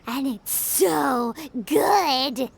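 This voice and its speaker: A woman voicing a tiny, excitable fairy companion with a childlike, exclamatory manner in a video game. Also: high-pitched, extremely slow, vocal fry, full character voice. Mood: excited, cheeky. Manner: refers to self in third person, exclamatory. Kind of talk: cartoonish video game voice acting.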